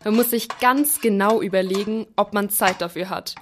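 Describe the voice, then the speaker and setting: Woman acting a sensible mother, mid-pitched. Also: normal speed, a little hiss or hum, lightly acted. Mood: skeptical. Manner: reasoning, admonishing. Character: sensible, reluctant mother of a young girl. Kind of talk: children's radio play acting.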